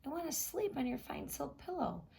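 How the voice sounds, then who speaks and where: A woman voicing an eager little male frog in a storybook, high and insistent. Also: sing-song, a little fast, gravelly, dark tone, exaggerated voice. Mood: bargaining.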